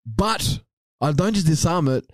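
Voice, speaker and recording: Animated, sweary podcast guy. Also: a little high, measured pace, dry recording. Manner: animated, excitable storyteller, sweary slang. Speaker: podcast co-host. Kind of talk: casual podcast chat.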